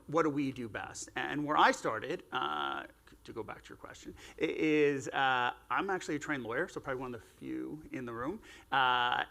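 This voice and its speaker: Gravelly guy on a conference panel, relaxed, lots of uhs. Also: medium pitch. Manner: relaxed, filler-heavy, self-deprecating. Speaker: panel guest, executive. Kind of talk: casual panel talk. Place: conference stage.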